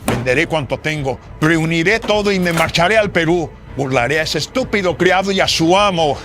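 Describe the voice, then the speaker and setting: Man playing a scheming, agitated notary, higher voice, music behind. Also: expressive, unhurried. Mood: gloating. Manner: muttering to himself, theatrical, agitated. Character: greedy, scheming colonial notary, nervous and crooked. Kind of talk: melodramatic horror radio drama acting. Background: dramatic music.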